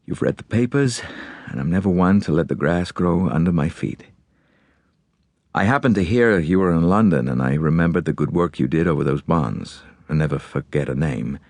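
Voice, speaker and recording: A man's voice: a bass voice, lively intonation, moderate pace, dry recording, exaggerated voice. A man voicing a large, older, blunt American millionaire and grieving father, with an American accent, in an audiobook. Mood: grim, determined. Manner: blunt, forceful, businesslike. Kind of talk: audiobook character acting.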